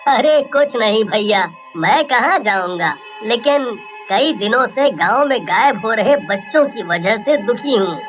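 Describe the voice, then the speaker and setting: A woman's voice: a little high, animated, hardly any pauses, soft background music, natural voice. A woman voicing an earnest, troubled young village man in a cartoon story, speaking emphatically.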